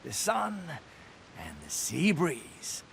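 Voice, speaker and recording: An actor playing a drowsy, easygoing soldier who talks in a languid, offhand way. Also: male voice, on the high side, huge pitch swings, takes it slowly, vocal fry, slight background noise, exaggerated voice. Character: lazy drowsy soldier who keeps to himself.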